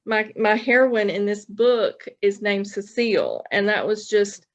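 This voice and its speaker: The recording is dull and lo-fi. A woman with a mid-pitched voice chats informally, like a guest on a podcast. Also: expressive, unhurried. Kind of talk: casual chat. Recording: dull, lo-fi audio.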